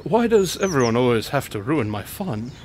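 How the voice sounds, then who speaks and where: A man playing an eccentric, self-assured detective with a playful deadpan manner in a comic radio drama. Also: mid-range voice, normal speed, slight background noise, natural voice. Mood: wry. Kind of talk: comedic radio drama acting.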